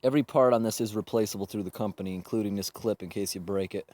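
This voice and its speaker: A man's voice: on the low side, fast, darkish tone, dry recording. A man casually demonstrating a product in a plain, informal, explaining way. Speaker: gadget reviewer. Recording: noisy handheld amateur recording.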